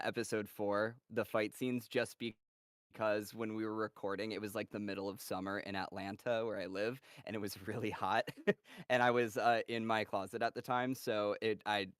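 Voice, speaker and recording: A man with a lowish, smooth and clear voice hosts a casual live-stream Q&A. He reads out fan questions in an easygoing way, full of ums and likes. The sound is dry and close. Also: no room sound. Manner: relaxed, filler-heavy, reads questions aloud. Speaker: host reading fan questions.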